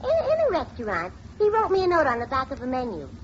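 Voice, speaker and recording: A brassy, man-hungry dame in an old radio comedy. Her voice is high and wildly swooping, with self-assured, literal-minded delivery. The recording is dull vintage radio. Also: huge pitch swings, quick pace, slight background noise. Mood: pleased, coy. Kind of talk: 1940s radio comedy acting.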